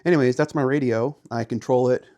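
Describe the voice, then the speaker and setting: A man's voice: mid-range voice, steady tone, a little fast, warm tone, no echo, studio quality. A man giving a casual walk-through tour as host, explaining things in a plain-spoken way. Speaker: host, amateur radio hobbyist. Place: outdoors by a house, then garage and small radio room.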